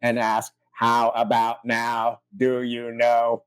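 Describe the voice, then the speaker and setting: A deadpan, steady tabletop player at a mid pitch. The recording is dry and close. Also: takes it slowly, clear, resonant tone, no echo. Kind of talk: casual tabletop play.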